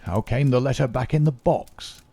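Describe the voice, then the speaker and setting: A man voicing a calm, sharp elderly prime minister who speaks in a measured, authoritative way. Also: medium pitch, gravelly, slightly rough, no room sound, voice pushed up. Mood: composed.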